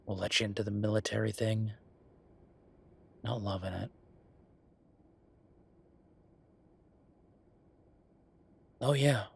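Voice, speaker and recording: A man plays a werewolf office bureaucrat and affectionate boyfriend in an intimate roleplay audio drama. His voice sits on the low side with a strong rasp. He talks casually and wryly, teasing as he goes. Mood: skeptical, uneasy. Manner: casual, teasing, conversational with soft murmurs.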